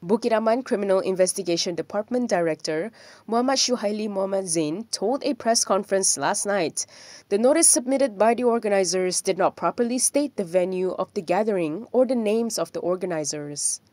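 A woman reads news copy in a steady, measured voice-over. Her voice sits at a middle pitch. The studio sound is close and dry. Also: no room sound. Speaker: news presenter. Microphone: studio microphone.

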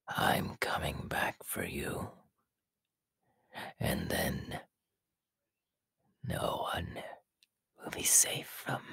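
A man voicing a sinister, taunting male snake villain in an ASMR roleplay, with a breathy, raspy whisper and slow, gloating delivery, close to the mic. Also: a bass voice, pushed and bright, no room sound, exaggerated voice. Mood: parting threat.

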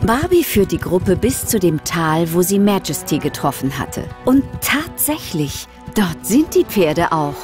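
Woman reading a kids audio story, animated, medium pitch, music behind. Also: unhurried, few pauses. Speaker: narrator. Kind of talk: scripted children's story narration.

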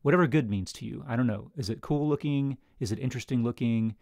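A man's voice: medium pitch, fast talker, gravelly, a little mellow, dry recording. A man in his thirties explaining a product to camera as a tech reviewer, recorded close on a broadcast microphone. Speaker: tech reviewer, video host. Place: small home studio room, indoors. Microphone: close broadcast microphone on desk stand.

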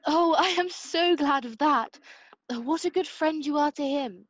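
A woman's voice: high voice, normal speed, no echo, dull audio, lightly acted. A woman playing an upright, anxious politician's wife in a period radio play. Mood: anxious, pleading. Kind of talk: amateur radio play acting of a period comedy.

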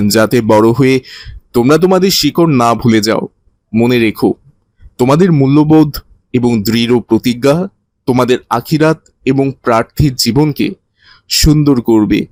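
A kindly schoolteacher, acted by a man tells moral stories to children in a cartoon. His voice sits at a middle pitch with an earnest, instructive manner, recorded very dry. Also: darkish tone, dry recording, lightly acted. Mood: gently admonishing. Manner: didactic, earnest. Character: kindly male teacher telling religious stories to children. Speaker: teacher.

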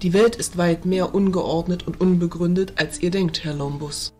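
A wise fairy lady acted by a woman with a gentle, rather low voice. She speaks with measured courtesy in a fantasy radio play, faint music behind her. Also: fairly even intonation, lightly acted. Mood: solemn. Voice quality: soft, gentle. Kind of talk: amateur fantasy audio drama acting.

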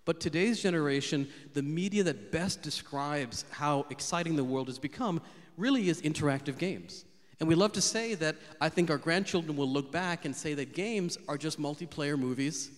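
Upbeat man giving a keynote speech. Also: on the high side. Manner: fluent, upbeat and explanatory. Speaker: keynote speaker. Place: large conference hall on stage. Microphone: handheld microphone. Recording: long reverb of a big hall.

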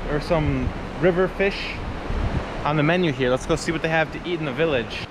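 A man in his thirties from the United States, vlogging excitedly to a handheld camera held at arm's length while he travels in a mountain village. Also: fairly high pitch, brisk. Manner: excitable, exclaiming, casual. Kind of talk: travel vlog, talking to camera. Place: outdoors in a mountain village and in a car. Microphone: handheld action camera at arm's length. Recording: noisy outdoor ambience.

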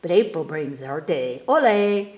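A woman reading children's poems aloud at storytime, expressively and with careful, animated delivery for young listeners. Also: sits low, reverberant. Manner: animated, unhurried, enunciating for children. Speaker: library storytime reader. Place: indoors in a room, book filmed on table.

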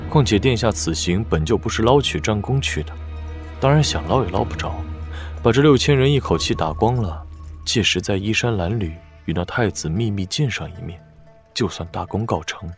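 Deep-voiced, calm strategist. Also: male voice, faint music behind. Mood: calm, firm. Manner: measured, deliberate, analytical. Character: calm, calculating blind male strategist advising a young prince. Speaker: voice actor in an audio drama. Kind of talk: Chinese wuxia audio drama acting.

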